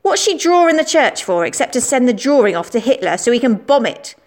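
A woman's voice: a little high, big pitch swings, brisk, darkish tone, pitched above the performer's own voice. A woman voicing a spiteful schoolboy who taunts and accuses a classmate. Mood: triumphant. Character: boastful spiteful schoolboy bully.

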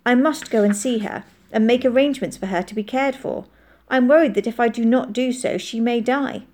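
A woman's voice: on the high side, a little fast, a little mellow, voice pushed up, lightly acted. A woman voicing a polite, earnest soldier who speaks in careful, formal phrases in an audiobook. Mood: earnest, worried. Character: young soldier hiding in a barn, polite, guarded, earnest. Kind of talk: audiobook character voice.

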